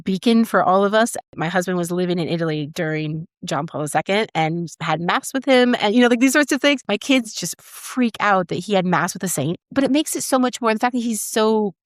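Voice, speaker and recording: A woman with a medium-pitched voice hosts a podcast interview. Her delivery is conversational and courteous, with a dry, close sound. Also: expressive, brisk, hardly any pauses, dry recording.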